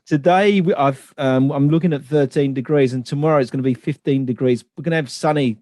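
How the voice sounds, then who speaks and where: A man hosting a podcast interview over a video call from home. He is friendly and chatty, with loose phrasing, and his voice sits at an ordinary middle pitch. The sound is dry and close, with no room echo. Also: fairly quick, darkish tone. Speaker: podcast host. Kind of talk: casual interview chat. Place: home in London.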